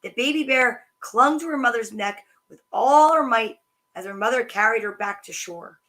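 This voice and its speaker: Bright, animated woman reading storybooks. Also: a little high, normal speed. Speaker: storytime host reading children's books. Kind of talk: reading picture books aloud with chatty asides.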